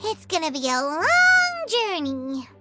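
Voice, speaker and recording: A woman's voice: extremely high pitch, slow pace, exaggerated voice. A woman voicing a small, chatty, floating fairy companion in a video game. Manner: chirpy, chatty, expressive. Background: loud game music.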